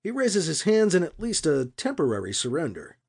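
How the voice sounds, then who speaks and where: A man's voice: a little high, wildly swooping pitch, fairly quick, vocal fry, a little mellow. A man reading fiction aloud as an audiobook narrator, in a dramatic scripted storytelling style.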